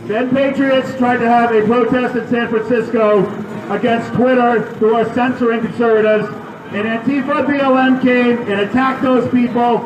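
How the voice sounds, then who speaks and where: A man delivering a loud protest speech at an outdoor rally, firing questions at the crowd. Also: extremely high pitch, background noise. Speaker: rally speaker. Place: outdoor city square. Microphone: public address system heard from a distance. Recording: echoey outdoor PA from far off.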